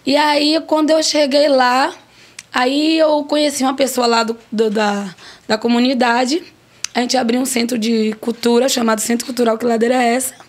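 Woman, 40s, podcast guest, higher voice, playful emphatic storyteller. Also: moderate pace. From Brazil. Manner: lively, joking, emphatic storyteller. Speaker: restaurant owner guest. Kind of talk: casual podcast interview.

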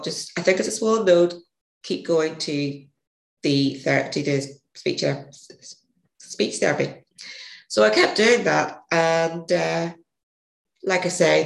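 A woman with a gravelly, hoarse voice, a podcast guest telling a rambling story and correcting herself as she goes. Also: sits low. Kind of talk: casual interview storytelling. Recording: echoey room.

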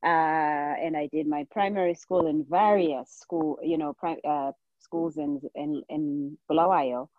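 A woman's voice: low-pitched, fairly even intonation, unhurried, dry recording. A woman in her forties with a Zimbabwean accent, chatting casually as a guest in an interview, on a slightly muffled recording. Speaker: podcast guest, nurse practitioner.

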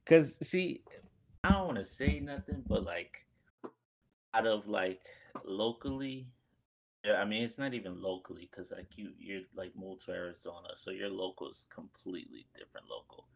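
Low gravelly podcast co-host man. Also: normal speed. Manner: rambling, many fillers and restarts. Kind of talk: casual podcast chat.

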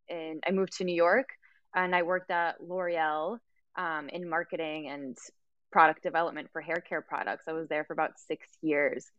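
A woman's voice: lowish voice, a bit bright, dry recording, muffled recording. A woman in her thirties from Mexico, a guest telling her story earnestly, with many fillers and restarts. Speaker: guest, yoga teacher and life coach. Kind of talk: casual interview conversation.

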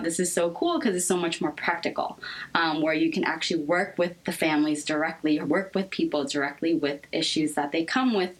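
Young american woman, pretty low voice, chatting honestly in an interview, kinda thoughtful and halting. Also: rough recording. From United States, raised in Seattle. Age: young adult. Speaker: interview guest, therapist. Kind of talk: candid conversational interview.

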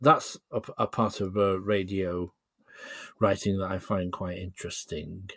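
Low-voiced man, dry close sound. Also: steady tone, measured pace, a bit bright, slightly rough, dry recording. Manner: hesitant, thoughtful, explanatory. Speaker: podcast guest, writer. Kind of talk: casual interview chat.

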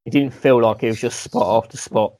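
Male co-host, mid-pitched, rambling self-mocking storyteller. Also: fairly even intonation, fairly quick, warm tone. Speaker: podcast co-host. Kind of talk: casual chat, anecdote telling.

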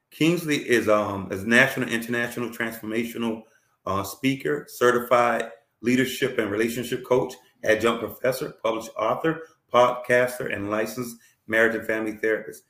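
A man's voice: medium pitch, moderate pace, a bit bright, echoey room. A man hosting a talk show, introducing his guest with effusive praise and plenty of fillers. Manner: effusive, repeats words and fillers. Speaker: host.

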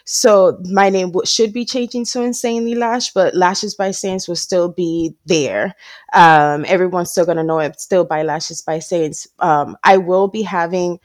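A chatty woman talking shop as a guest on a podcast, with a lowish voice. She slips into little voices when she quotes people and laughs easily. The recording is close and dry, with no room sound. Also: steady tone, a little slow, no room sound. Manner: chatty, acts out quoted dialogue, laughs often. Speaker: lash artist and trainer, podcast guest. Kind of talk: casual interview chat. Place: based in Tampa.

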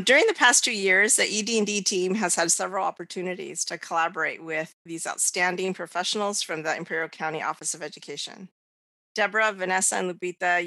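A woman's voice: on the low side, pushed and bright, dry recording. A woman from the United States hosting a podcast, giving prepared introductions. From United States, child of Mexican immigrants. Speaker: podcast host.

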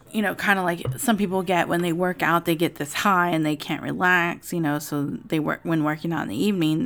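A raspy-voiced woman in her forties talking casually in a rambling personal vlog at home, with many fillers. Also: low voice. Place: home with TV music in another room.

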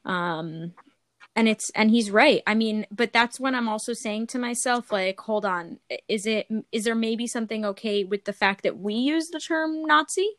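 A woman's voice: a little high, moderate pace, a bit bright, dry recording, studio quality. A young woman co-hosting a casual podcast chat, thinking aloud hesitantly with many fillers. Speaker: podcast co-host.

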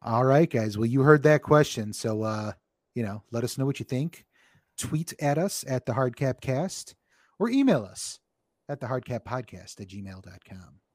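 A man's voice: mid-range voice, lively intonation, moderate pace, darkish tone, no echo. A man hosting a sports podcast in an everyday mid-range voice, recorded dry and close. He steers the show between segments with wry humor and pushes back bluntly. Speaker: podcast host. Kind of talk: casual sports chat, segment intros.

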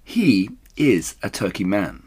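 A man voices a suave father fox from a storybook. He speaks in an assured, measured way, slowly savouring words with a lively rise and fall. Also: lowish voice, husky, exaggerated voice. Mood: knowing.

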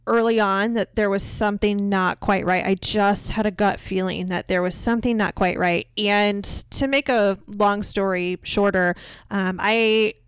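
A woman's voice: medium pitch, steady tone, unhurried, clear, resonant tone, dry recording. A woman from central Illinois, a guest in an interview, talking in a chatty, upbeat way and laughing easily. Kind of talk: casual interview conversation.

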